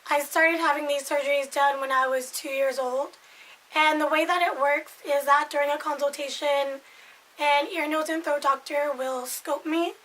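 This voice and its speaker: A woman in her twenties with a hoarse, raspy voice, chatting casually to camera in a rambling way that loses its thread. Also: sits high, fairly even intonation, moderate pace, fairly bright tone, low-quality recording. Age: 20s. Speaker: vlogger.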